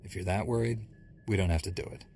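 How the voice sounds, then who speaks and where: An actor playing a calm, resolute Jedi master in a video game, matter-of-fact and protective. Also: male voice, low-pitched, fast talker, slight background noise. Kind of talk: dramatic video game acting.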